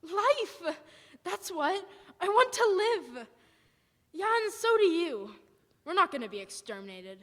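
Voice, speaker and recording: A woman's voice: extremely high pitch, very animated, unhurried, fairly bright tone, full character voice. A woman playing a defiant, wary militia survivor with blunt, folksy, emphatic speech in a live radio drama. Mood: fiercely defiant. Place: church hall stage, live performance. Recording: echoey hall, live performance.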